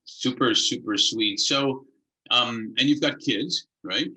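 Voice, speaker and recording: Bright-voiced male interviewer in an echoey room. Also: mid-range voice, slow. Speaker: interviewer, host. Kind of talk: casual interview chat.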